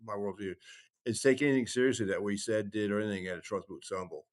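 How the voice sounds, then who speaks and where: Low-voiced man, rambling and halting. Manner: rambling, halting, frequently trailing off. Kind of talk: casual conversational storytelling and explaining.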